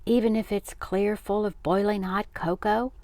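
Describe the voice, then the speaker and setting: Woman playing an eager, higher-pitched show-off boy. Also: steady tone, moderate pace, dry recording, lightly acted. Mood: coaxing. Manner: bright, cheeky, eager. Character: eager, pestering ten-year-old show-off boy. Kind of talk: children's storybook reading.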